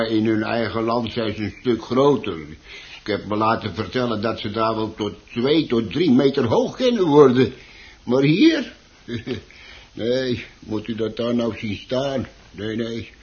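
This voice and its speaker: An actor in a radio play playing a chatty, grumbling plant shop keeper who hesitates, stammers and repeats himself. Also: male voice, mid-range voice. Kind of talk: Dutch radio play acting. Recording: dull, muffled old radio recording.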